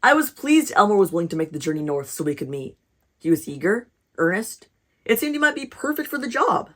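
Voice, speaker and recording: Kindly storybook Santa, read by a woman: reflective, a bit puzzled, measured storytelling at medium pitch. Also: sing-song, fairly bright tone. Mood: pleased. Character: kindly, puzzled Santa recounting a job search.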